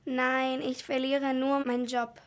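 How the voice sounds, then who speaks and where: A woman's voice: high-pitched, level tone, a little slow. A young girl playing a dejected mother and police chief in an amateur radio play. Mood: dejected, resigned. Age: child or young teen.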